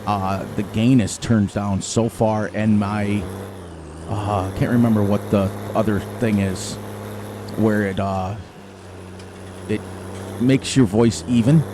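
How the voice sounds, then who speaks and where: A middle-aged man with a loud voice, rambling and thinking aloud as he comments casually on his gameplay into a desk microphone. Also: lowish voice, fairly even intonation, slow pace, pauses often. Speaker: gaming channel host. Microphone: desk microphone turned down low. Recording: game engine noise behind.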